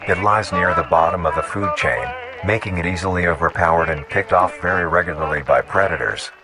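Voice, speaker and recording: Male documentary narrator, very deep, formal and measured script read, prominent music underneath. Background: loud music.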